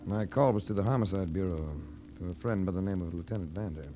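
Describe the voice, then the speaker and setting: An actor playing a worldly, wisecracking private investigator in 1940s radio drama, laconic with a dry wit. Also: male voice, deep voice, fast, dark tone, soft background music, lightly acted. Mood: wry narration. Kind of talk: 1940s radio detective acting, hardboiled narration. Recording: noisy old 1940s radio recording.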